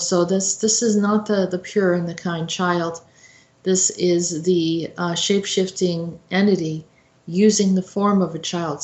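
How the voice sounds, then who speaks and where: Low-voiced woman in an echoey room. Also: a little mellow. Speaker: host commentator. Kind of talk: informal explanatory talk, interpreting a poem. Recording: roomy, echoey sound.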